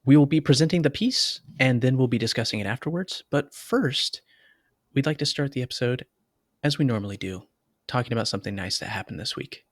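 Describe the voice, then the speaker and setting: A man's voice: mid-range voice, expressive, a little fast, no echo. Man hosting a casual podcast, close dry sound. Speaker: podcast host.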